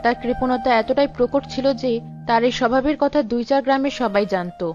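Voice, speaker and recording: A woman narrates a cartoon story in a high voice. Her scripted storytelling sits over loud music. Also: brisk, dull audio.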